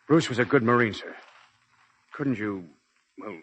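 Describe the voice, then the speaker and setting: An actor playing an earnest, respectful Marine subordinate in 1940s radio style. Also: male voice, medium pitch, measured pace, lightly acted. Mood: respectful, subdued. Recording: old radio recording, faint noise.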